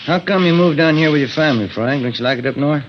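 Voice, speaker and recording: An American actor playing a chatty, teasing police detective in a 1950s radio drama. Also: male voice, a little high, fast, slight background noise, close to an everyday voice. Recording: muffled vintage 1950s radio broadcast.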